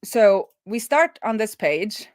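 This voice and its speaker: A middle-aged woman host talking informally through live coding, thinking aloud and often halting as she works things out. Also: medium pitch, expressive, noisy background. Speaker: host of a web development live stream. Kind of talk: casual live coding commentary.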